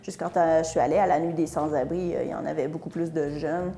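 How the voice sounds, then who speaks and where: A woman's voice: low voice, fairly even intonation, fast talker, mellow. A woman feminist activist speaking plainly and earnestly about her daily life. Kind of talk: personal testimony.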